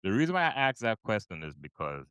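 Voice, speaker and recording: Male host with a lowish, clean voice; loose, rambling questions in a dry room. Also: big pitch swings, quick pace, fairly bright tone, clear, resonant tone, no echo. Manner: rambling, many fillers and restarts. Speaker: podcast host. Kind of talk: casual interview questions.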